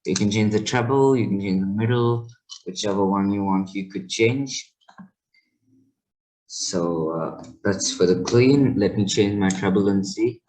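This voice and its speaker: Male tech host, deep voice, casual rambling how-to delivery. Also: a little mellow, low-quality recording. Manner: loose, rambling explanation with self-corrections. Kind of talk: casual screen tutorial.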